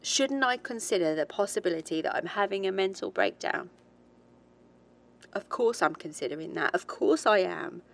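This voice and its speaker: A woman's voice: mid-range voice, big pitch swings, normal speed, creaky. A woman playing a haunted, determined researcher who gives a reflective, hesitant confession in a horror audio drama diary. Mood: uneasy, reflective. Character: haunted, determined woman researcher, British-born, recalling childhood ghosts.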